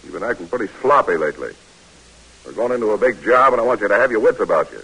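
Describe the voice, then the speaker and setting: A brisk, deep-voiced army lieutenant. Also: male voice, animated, vocal fry, fairly bright tone, breathy edge, full character voice, poor audio. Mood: stern. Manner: firm, clipped orders. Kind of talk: 1940s radio drama acting.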